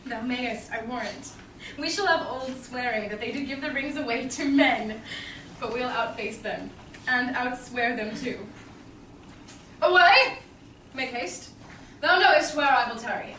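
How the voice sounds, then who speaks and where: A witty noblewoman of Belmont, played by a woman in a Shakespeare stage reading. Her voice is high and self-possessed. It is heard from far off in an echoey, noisy theatre. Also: unhurried, long pauses, bright, forceful tone. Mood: brisk, scheming. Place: small theatre stage, far audience camera.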